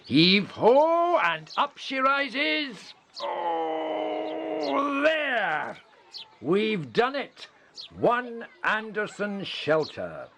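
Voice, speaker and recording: Radio drama, Scottish wartime country doctor, hearty and fatherly, very animated melody, measured pace. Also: extremely high pitch, vocal fry, a little hiss or hum, full character voice. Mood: hearty, encouraging. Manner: hearty, avuncular, exclaiming. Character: kindly, brisk village doctor in wartime 1940s. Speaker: actor in a radio play. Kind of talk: radio play acting.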